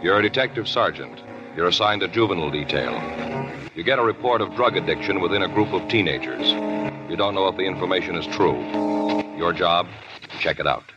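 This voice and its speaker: Male announcer, deep and grave, lively emphatic delivery. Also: fairly quick, muffled recording. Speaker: show announcer. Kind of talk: dramatic 1950s radio announcing. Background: music.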